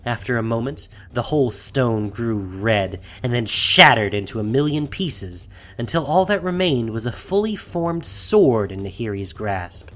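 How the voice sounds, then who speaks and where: A man's voice: mid-range voice, expressive, measured pace, hardly any pauses, fairly bright tone, soft background music. A man narrating a fantasy audio drama from a script in a dramatic storytelling style.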